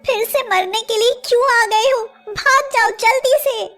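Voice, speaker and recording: A woman's voice: extremely high pitch, a little slow, sharp tone, faint music behind, pitched above the performer's own voice, heavily acted. A woman voicing an anxious young woman in a fairy tale, urgent and fretful. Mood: worried, urgent.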